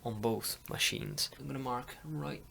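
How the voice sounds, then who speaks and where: A man giving a casual hands-on tutorial, talking in a halting way as he thinks aloud. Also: mid-range voice, creaky, pushed and bright, slight background noise. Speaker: audio hobbyist demonstrating tape machines.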